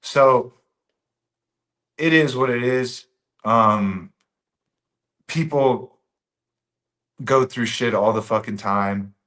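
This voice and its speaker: A man from Bosnia talks as a guest in a casual podcast chat. His voice sits at an ordinary middle pitch. He speaks earnestly and stresses his points by repeating them. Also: extremely slow, pauses often, a bit bright. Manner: earnest and emphatic, repeats key phrases.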